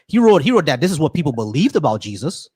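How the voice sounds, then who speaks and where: A man hosting a call-in stream, arguing quickly and repeating phrases to make his point. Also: fairly high pitch, big pitch swings, warm tone, husky, no echo, studio quality. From United States. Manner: rapid, argumentative, repeats phrases. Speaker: host. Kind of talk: casual debate on a call-in stream.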